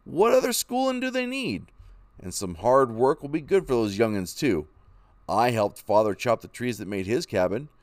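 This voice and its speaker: A man's voice: medium pitch, big pitch swings, moderate pace, no echo, pitched above the performer's own voice, natural voice. A man voicing a determined young pioneer father who is set on heading west. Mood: firm. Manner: firm, eager. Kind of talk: storybook reading.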